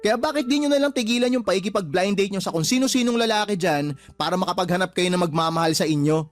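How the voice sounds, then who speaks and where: A man's voice: high-pitched, fast talker, faint music behind, lightly acted. A man playing a protective, exasperated adult son in a Tagalog radio drama, speaking bluntly and emphatically. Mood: blunt, frustrated.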